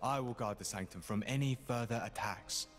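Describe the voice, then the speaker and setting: A man playing a deadpan loyal manservant. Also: mid-range voice, brisk, big-room sound, over music, lightly acted. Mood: dry. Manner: deadpan, politely sarcastic. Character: dry, loyal male manservant to a sorcerer. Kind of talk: video game cutscene acting.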